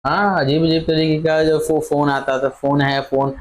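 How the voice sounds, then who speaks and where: A man's voice: fairly high pitch, level tone, normal speed, slight background noise. A man co-hosting a reaction video, agreeing and chatting casually about what he just watched. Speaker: reaction channel co-host.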